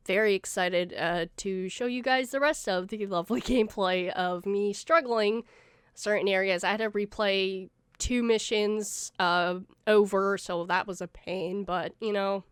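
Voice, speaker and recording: A young woman gives a loose, chatty update to her gaming channel viewers, stopping often on fillers. Her voice is smooth and clear though her nose is stuffy. The recording is close and dry, with no room sound. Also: medium pitch, no room sound. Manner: rambling, many fillers and restarts. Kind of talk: casual update vlog to viewers.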